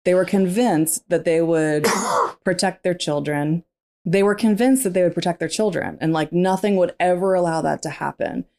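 A woman, a former teacher, co-hosting a casual book discussion podcast, candid and quick to laugh. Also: on the low side. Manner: laughs often, animated and candid. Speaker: podcast co-host.

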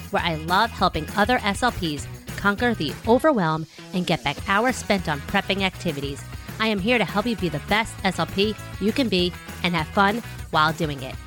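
A woman's voice: on the low side, lively intonation, normal speed, music in the background. A woman hosting a podcast who works as a speech-language pathologist, reading a scripted intro and then chatting in a welcoming way with her guest. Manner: upbeat, welcoming.

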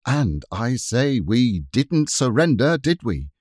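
A man's voice: medium pitch, sing-song, takes it slowly, darkish tone, no room sound, studio quality, voice pushed up. A man doing a bold defiant boy. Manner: eager, defiant. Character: bold fierce young boy.

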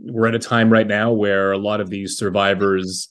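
A man hosting a discussion, speaking in a conversational, halting and reflective way. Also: lowish voice, little pitch movement, a bit bright. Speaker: discussion host. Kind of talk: conversational interviewing.

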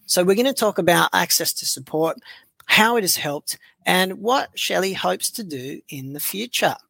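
A man hosts a podcast interview with a gravelly, creaky voice and a bright, forward tone. The recording is close and dry. He keeps things friendly, with plenty of ums and small restarts. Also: high voice, lively intonation, moderate pace, dry recording. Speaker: podcast host.

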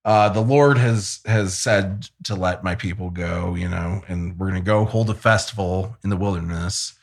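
A man's voice: low-pitched, fairly even intonation, moderate pace. A man casually retelling a Bible story in his own loose paraphrase, with jokey asides. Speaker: podcast co-host.

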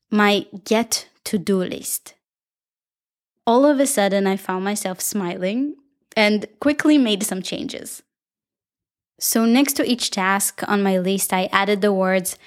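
Israeli woman, young adult, solo podcast host and dancer, reflective and upbeat, speaks warmly to her listeners, medium pitch.

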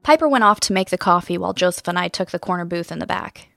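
A woman's voice: fairly low pitch, lively intonation, fast, gravelly, a bit bright, faint music behind. Curious woman podcast investigator. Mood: measured storytelling. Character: curious, eager female radio host investigating mysteries. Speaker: podcast host and narrator. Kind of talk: audio drama dialogue and first-person narration.

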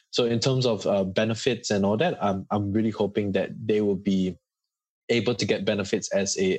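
A man's voice: fairly low pitch, husky, no room sound. A man from Singapore with a Singaporean accent, giving earnest, explanatory answers as a guest in a conversational interview.

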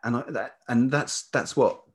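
A man speaking as an interview guest in reflective, casual conversation, halting thoughtfully as he searches for words. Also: mid-range voice, breathy edge. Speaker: interview guest, writer and musician.

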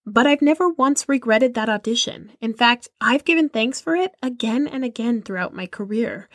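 A woman's voice: on the high side, no echo, studio quality. A young woman hosting a podcast, telling a personal story in an emphatic way and calling listeners "y'all". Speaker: podcast host and musician. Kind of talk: reflective podcast monologue.

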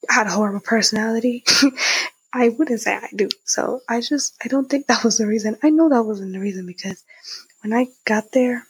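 A nineteen-year-old woman with a husky, breathy voice tells a personal story in a casual, candid, youthful way, repeating phrases for emphasis. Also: on the high side, moderate pace, dry recording, poor audio. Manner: youthful conversational, emphatic repetition.

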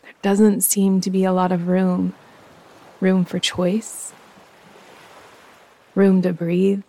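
A woman guiding a yoga nidra meditation in a soft, breathy voice, calm, soothing and unhurried. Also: mid-range voice, rough recording. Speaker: meditation guide and host.

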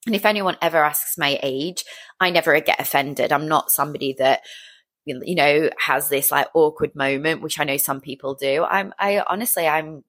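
A woman around forty with a fairly low voice talks casually and at length about her own life. She rambles in a chatty, upbeat way and encourages the listener like a personal trainer. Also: normal speed, a bit bright. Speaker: fitness trainer and business owner hosting a show. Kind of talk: casual solo chat, personal update.

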